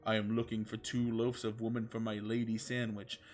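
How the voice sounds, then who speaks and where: A man's voice: on the low side, level tone, moderate pace, faint music behind, lightly acted. A man voicing a brawny, cocky, righteous hero cat with stilted heroic bravado in a comic story reading. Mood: flirty.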